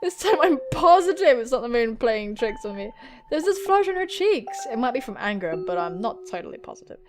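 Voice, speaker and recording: Excitable high-voiced young woman, very animated. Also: fairly quick. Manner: excitable, squealing, giggly, swearing. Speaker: gaming video host. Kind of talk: playthrough reading visual novel aloud with excited reactions.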